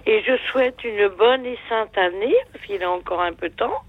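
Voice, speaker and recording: A woman's voice: medium pitch, normal speed, dry recording, phone-quality sound. Rambling woman in her sixties on the phone. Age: 60s. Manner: rambling, hesitant, many fillers. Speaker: radio caller. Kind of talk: phone-in chat.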